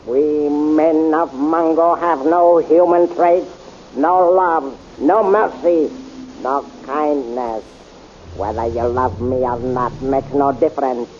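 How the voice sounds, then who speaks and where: An actor in a 1930s radio serial playing a cruel, haughty space emperor who gives commands coldly. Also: male voice, on the high side, steady tone, slow pace, darkish tone, a little hiss or hum. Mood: cold commanding. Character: cruel male tyrant emperor of a planet. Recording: dull old radio serial recording.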